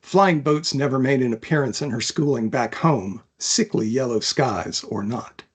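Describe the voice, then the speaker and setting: A man, an author, talking about and reading from his own fantasy novel in a thoughtful, measured manner. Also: mid-range voice, breathy edge. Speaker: author, interview guest.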